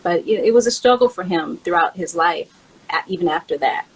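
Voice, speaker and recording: A woman's voice: lowish voice, lively intonation, brisk, a little hiss or hum, rough recording. A woman in a casual conversation, reminiscing in a candid, conversational way. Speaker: host and memoir author counting down favorite songs. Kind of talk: casual chat, personal reminiscing.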